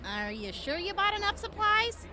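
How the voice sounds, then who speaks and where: A woman acts a fiery young wasteland traveler in an audio drama. Her voice is very high and her manner blunt and combative, with noticeable outdoor noise behind her. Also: very animated, moderate pace, a crowd in the background. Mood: teasing. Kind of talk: post-apocalyptic audio drama acting.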